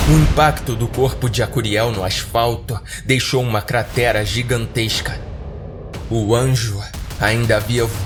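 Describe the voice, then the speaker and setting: Brazilian man narrating horror over music. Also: mid-range voice. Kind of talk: dramatic scripted narration.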